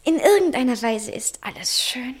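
A woman acting in a 1960s German radio play as a capricious young lover, theatrical and teasing, often drifting into breathy murmurs. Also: sits high, lively intonation, moderate pace. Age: young adult. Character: dreamy, coquettish, capricious young woman in love.

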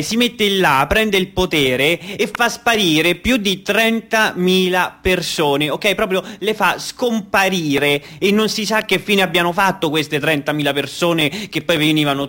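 A man's voice: high voice, measured pace, bright, forceful tone. Actor as an insistent man explaining. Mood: grave, insistent. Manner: didactic, insistent, checks for understanding. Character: patient but exasperated man explaining dark history as a game. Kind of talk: conversational stage storytelling.

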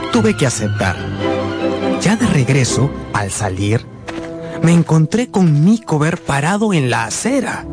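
An actor playing an earnest, polite young man who tells his own story in a radio drama, with measured, expressive delivery. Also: a little high. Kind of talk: scripted narration with acted dialogue, radio drama. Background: background music.

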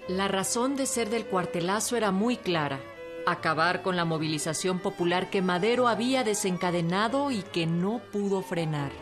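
A woman's voice: on the low side, moderate pace. A woman reading scripted radio narration as an announcer. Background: string music.

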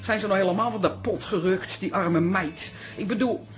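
An actress playing a vain, gossipy toilet attendant in a comic radio drama, chatty and self-important. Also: female voice, mid-range voice, normal speed, faint music behind, lightly acted. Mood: indignant.

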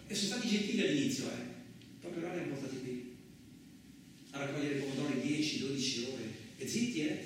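A man's voice: on the high side, far from the mic. A man performing a colloquial first-person dramatic monologue for radio theatre. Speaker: stage actor performing a monologue. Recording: very echoey room, off-mic, faint noise.

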